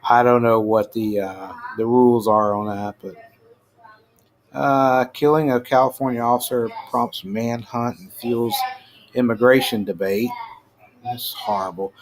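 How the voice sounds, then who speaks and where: A middle-aged American man giving casual, halting news commentary as a host, informal and prone to trailing off. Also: mid-range voice. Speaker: host of a personal rant show. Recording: clearly noisy amateur home recording.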